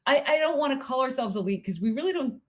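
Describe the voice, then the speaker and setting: A woman's voice: sits high, quick pace. A woman in her late thirties co-hosting a podcast in casual chat, gushing and laughing often. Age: 30s. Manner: giggly, gushing, lively with frequent laughs. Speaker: podcast co-host.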